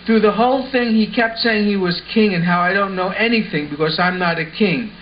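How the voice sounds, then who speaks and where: A man playing an earnest, excitable schoolboy who explains things eagerly in an amateur puppet show. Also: sits high, brisk, close to an everyday voice. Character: Lance, earnest excitable schoolboy puppet spinning wild tales. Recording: muffled audio with faint background noise.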